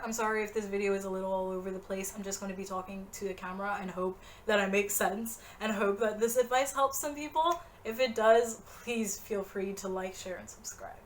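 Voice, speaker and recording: A woman's voice: medium pitch, fairly bright tone, slight background noise. A woman in her twenties talking earnestly to the camera in a motivational vlog, direct and encouraging. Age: 20s. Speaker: vlogger.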